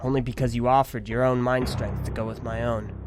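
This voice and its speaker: A man's voice: mid-range voice, little pitch movement, brisk, close to an everyday voice. A man playing a troubled, earnest hero of the air in an amateur audiobook drama, speaking hesitantly. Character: somber, shaken young-sounding heroic warrior of the air. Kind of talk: amateur full-cast audiobook drama acting. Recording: loud music bed under the voice.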